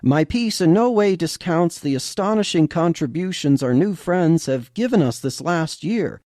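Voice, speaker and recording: A man voicing a smooth, deferential economist in an audio story, speaking courteously and carefully. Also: fairly high pitch, expressive, normal speed, dark tone, no echo, studio quality, close to an everyday voice.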